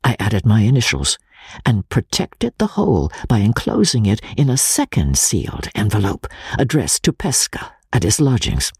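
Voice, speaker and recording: A man narrating classic literature in the first person as a dramatic reading, in a measured, formal, deliberate manner. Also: fairly low pitch, huge pitch swings, darkish tone, husky, no room sound, studio quality. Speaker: audiobook narrator.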